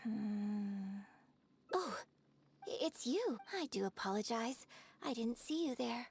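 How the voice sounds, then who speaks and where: A woman's voice: fairly high pitch, lively intonation, slow pace, creaky, darkish tone, a little hiss or hum, full character voice. A woman playing a courteous young opera performer in a fantasy video game, speaking in a formal, reflective manner. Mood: polite, composed. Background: game music.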